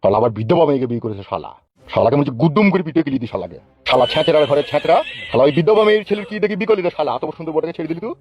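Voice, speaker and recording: Man playing a fast, blustering village politician. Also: a little high, expressive, darkish tone, natural voice. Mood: angry, blustering. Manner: scolding, cursing, blustering. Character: angry foul-mouthed male village politician, bullying and threatening. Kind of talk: comic cartoon dubbing. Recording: dull lo-fi, music behind.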